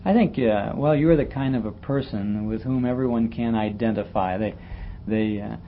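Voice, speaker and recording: A man's voice: lowish voice, normal speed. A man conducting a casual radio interview, speaking hesitantly and admiringly to his guest. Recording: dull lo-fi interview tape with noise.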